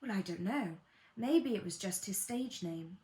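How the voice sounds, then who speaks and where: A woman's voice: mid-range voice, expressive, unhurried, warm tone, close to an everyday voice. A woman playing a kindly grown-up who tells a child a family tale in a patient, affectionate, matter-of-fact way.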